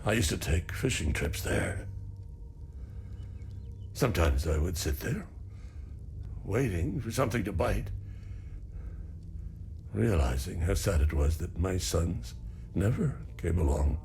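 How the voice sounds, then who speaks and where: An actor playing a wistful, regretful older father in a video game, gravelly and reflective as he reminisces. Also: low-pitched, sing-song, slow, pauses often, darkish tone, a little hiss or hum, exaggerated voice. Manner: reflective, melancholy. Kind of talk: video game dialogue acting.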